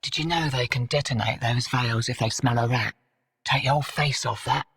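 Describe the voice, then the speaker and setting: In a reverberant space, a cocky streetwise smuggler barks out game dialogue. Her voice is bright and gravelly, with slangy, blunt phrasing. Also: extremely low pitch, moderate pace, recorded from a distance, exaggerated voice. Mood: friendly, sly. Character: brash, streetwise female smuggler and mission commander. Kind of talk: video game character dialogue.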